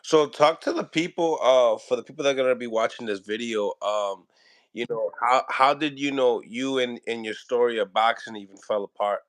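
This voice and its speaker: A man's voice: mid-range voice, normal speed, fairly bright tone, dry recording, poor audio. A man interviewing a boxing trainer, asking loose, hesitant questions full of fillers. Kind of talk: casual interview.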